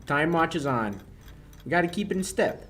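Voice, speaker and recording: A young man playing a smooth-talking crook in a 1940s radio play, breezy and sardonic with menace underneath. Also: fairly high pitch, expressive, moderate pace, close to an everyday voice. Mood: smug. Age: young adult.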